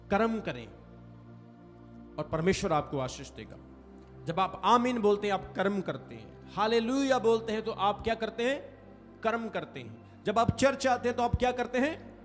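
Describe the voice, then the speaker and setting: High-voiced man preaching in a reverberant hall. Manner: emphatic preaching, stretches key phrases, prompts the congregation to respond. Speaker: pastor. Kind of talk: sermon. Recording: reverberant hall with faint music. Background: faint music.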